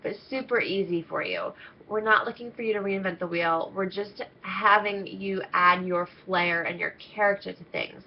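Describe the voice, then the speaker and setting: A woman's voice: mid-range voice, fairly even intonation, normal speed, a little hiss or hum. A woman coaching her team in a chatty, upbeat training talk, nasal and stuffed up with a cold. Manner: upbeat, chatty, many ums. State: congested, coming down with a cold. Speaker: team coach leading an internship. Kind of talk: casual video training talk. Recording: slightly dull lo-fi audio.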